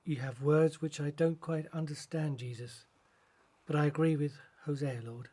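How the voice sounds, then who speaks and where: Man acting thoughtful disciple, slightly high pitch, polite, earnest, radio play. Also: fairly even intonation, normal speed, mellow, natural voice. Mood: respectful. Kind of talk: radio Bible drama acting.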